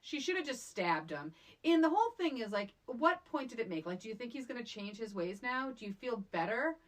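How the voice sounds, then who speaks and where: A woman's voice: on the high side, very animated, a little fast. A woman co-hosting a podcast, chatting casually about a film in an emphatic, exasperated way and repeating words for emphasis. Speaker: podcast co-host. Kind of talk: casual chat reviewing a film.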